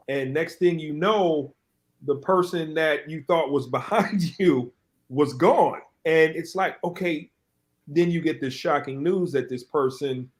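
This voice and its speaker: A man's voice: medium pitch, darkish tone. A man, a podcast co-host in a casual panel discussion, who tells stories in a rambling way with many restarts.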